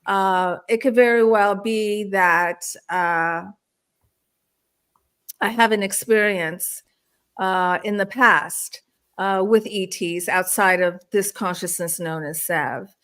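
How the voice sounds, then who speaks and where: A woman's voice: medium pitch, slow, fairly bright tone. A woman from Turkey who grew up in the Washington DC area, talking conversationally as an interview guest and telling personal stories. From born in Istanbul, Turkey; grew up in the Washington DC area. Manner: frequent throat clearing. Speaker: guest, spiritual counselor and experiencer.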